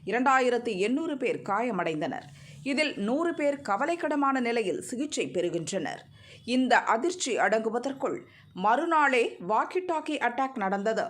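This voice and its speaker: Lady reading the news, kinda high voice, formal and steady. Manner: even, formal news read. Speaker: news narrator. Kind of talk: scripted news narration.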